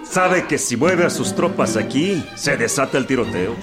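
An actor playing a shrewd, knowing revolutionary comrade in radio drama dialogue. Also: male voice, mid-range voice, animated, normal speed, natural voice. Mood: wry, knowing. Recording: loud music behind the voice.

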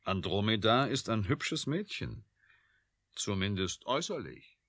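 An actor playing a provocative, sardonic scientist in a radio drama, teasing and needling with a defiant, flirtatious streak. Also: male voice, lowish voice, animated, measured pace, a bit bright, exaggerated voice. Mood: teasing, probing. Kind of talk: 1980s German radio drama acting.

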